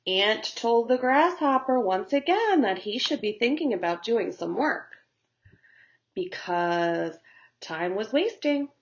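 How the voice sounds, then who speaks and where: A woman narrating a story for young children as a teacher would, in simple, deliberate phrasing. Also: on the high side, animated, muffled recording.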